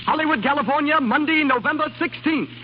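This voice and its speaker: A man's voice: very high-pitched, fast. A man announcing a 1930s radio show and its sponsor message in a formal, declamatory style. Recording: dull 1930s radio broadcast, loud music.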